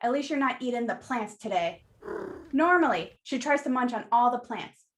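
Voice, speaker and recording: A woman playing a sassy, blunt, no-nonsense flower shop keeper in a radio play, brisk, wry and teasing in manner. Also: fairly high pitch, animated, lightly acted. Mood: mock stern.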